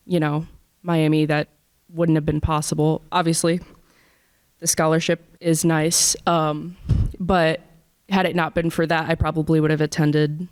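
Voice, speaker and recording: A woman's voice: deep voice, a little mellow, smooth, dry recording, clean studio sound. A woman of about twenty from Indiana with an American accent, speaking informally as a student panelist. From Indiana, United States. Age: around 20.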